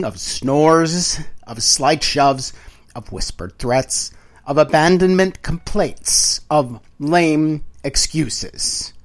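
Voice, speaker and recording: Slow, expressive man reading an audiobook. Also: medium pitch. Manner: deliberate, dramatic phrasing with weighted pauses. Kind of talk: literary audiobook narration.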